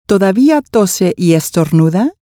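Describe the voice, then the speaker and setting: A woman reading scripted language-course dialogue, enunciating each phrase clearly. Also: mid-range voice, lively intonation, a little slow, dark tone, dry recording, studio quality.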